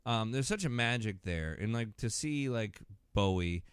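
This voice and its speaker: Low, smooth-voiced man in casual chat. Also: animated, moderate pace, no room sound. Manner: rambling, candid, often breaking off mid-thought. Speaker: podcast co-host.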